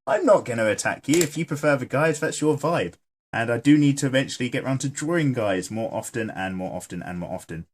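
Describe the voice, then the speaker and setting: A man hosting his own channel, chatting casually and joking while he sketches and reads viewer comments aloud. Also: lowish voice. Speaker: artist and channel host.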